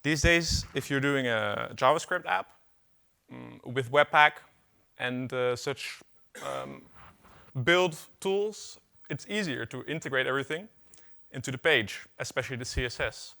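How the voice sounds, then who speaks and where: Man, from the Netherlands, bright voice, conference speaker, relaxed chatty delivery, lots of ums. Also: mid-range voice, unhurried, gravelly. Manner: casual, filler-heavy, wry asides. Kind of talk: technical conference talk. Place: conference hall.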